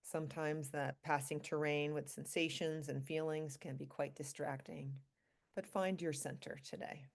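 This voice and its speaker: A woman leading a guided meditation for a group, speaking calmly and slowly in short, spaced phrases. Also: low-pitched, vocal fry. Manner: calm, slow, pausing between short phrases. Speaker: meditation leader, physician.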